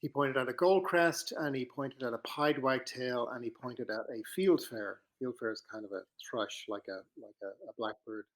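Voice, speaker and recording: An Irish man in his fifties, a podcast guest telling his life story in a relaxed, reflective way, with pauses and restarts. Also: medium pitch, poor audio. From Ireland. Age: 50s.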